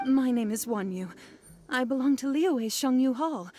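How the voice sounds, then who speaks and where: A slightly high female voice, courteous and a bit apologetic. a young scholar in a hurry. Faint music plays in the game audio. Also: animated, normal speed, warm tone. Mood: relieved. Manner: polite, apologetic. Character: polite, hurried young woman scholar. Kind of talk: video game voice acting.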